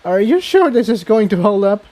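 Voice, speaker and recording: A man voicing a young female pony, excitable and wry, in a story reading. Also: high voice, moderate pace, pitched above the performer's own voice. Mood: amused, nervous.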